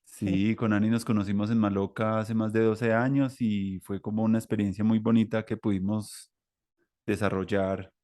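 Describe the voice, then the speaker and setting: A man's voice: lowish voice, flat delivery, normal speed, warm tone. A man hosting an interview podcast, talking in a rambling way with many fillers and restarts. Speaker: podcast host. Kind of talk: conversational interview.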